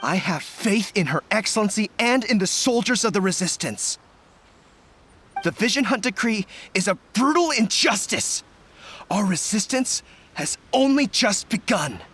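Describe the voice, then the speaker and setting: An actor playing a fervent, loyal young resistance general in a video game, earnest and impassioned. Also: male voice, high-pitched, lively intonation, unhurried, fairly bright tone, a little hiss or hum. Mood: resolute. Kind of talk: dramatic video game voice acting.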